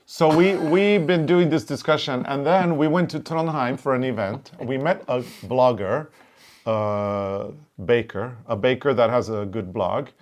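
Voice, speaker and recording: A man co-hosting a knitting show, chatting in a relaxed way and explaining things step by step. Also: medium pitch, measured pace. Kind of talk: casual chat.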